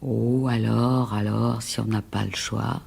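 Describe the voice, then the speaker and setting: Very low, creaky, reproachful wife. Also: female voice, flat delivery, slow pace, loud music behind the voice, heavily acted. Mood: reproachful, sullen. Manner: reproachful, sullen. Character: wife, reproachful, fearful and resentful. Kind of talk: French radio drama acting.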